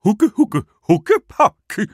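A pompous, clattering stork. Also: male voice, sits high, huge pitch swings, takes it slowly, darkish tone, dry recording, clean studio sound, voice pushed up, full character voice. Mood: boastful. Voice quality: clattering, repeats words. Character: arrogant stuttering male stork, pompous.

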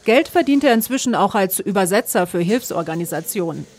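A woman reporter reading scripted documentary narration in a measured, even way. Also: mid-range voice.

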